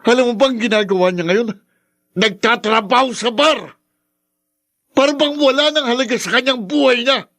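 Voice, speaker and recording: A man's voice: extremely high pitch, moderate pace, sharp tone, full character voice. An actor playing an earnest, reproachful young man in love, with an intense radio drama delivery. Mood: anguished anger. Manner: pleading, intense, melodramatic. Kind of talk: Filipino radio drama acting. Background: music in the background.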